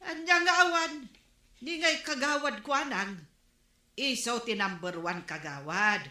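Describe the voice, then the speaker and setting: A woman's voice: on the high side, measured pace, pushed and bright, reverberant. A woman playing a nagging, scolding mother. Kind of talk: comedic radio drama acting.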